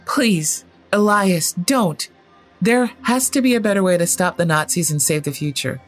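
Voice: medium pitch, animated, normal speed, faint music behind, natural voice. A storyteller voicing a blunt, sarcastic teenage girl who questions things with open disbelief in an audio horror story. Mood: pleading.

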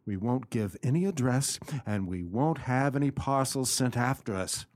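Actor as lively, loyal gentleman's companion. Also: mid-range voice, moderate pace, lightly acted. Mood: reassuring. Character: loyal, practical gentleman's companion, steady and reassuring. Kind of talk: radio play acting.

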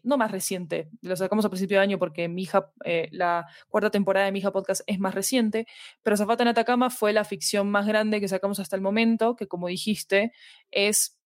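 A woman's voice: mid-range voice, no room sound, studio quality. A woman explains her work conversationally in an interview, reflectively searching for precise words. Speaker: podcast fiction producer, interview guest.

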